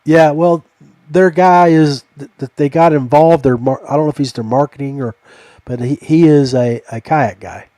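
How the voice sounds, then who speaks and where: A middle-aged American man hosting a casual podcast chat in a halting, folksy, familiar way. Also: fairly high pitch, dark tone, no echo. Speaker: podcast host.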